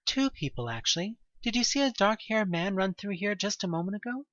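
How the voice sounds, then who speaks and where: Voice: mid-range voice, animated, fairly quick, a bit bright, no room sound, highs cut off, voice pushed up, natural voice. A performer voicing a wary, polite young woman searching for her uncle in an audio drama. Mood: questioning.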